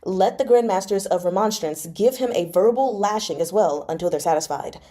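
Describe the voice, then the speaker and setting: A woman's voice: mid-range voice, normal speed, mellow, natural voice. A woman voicing a shrewd, composed courtier, steadily persuading, in a novel read-aloud. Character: shrewd, composed male courtier advising the emperor.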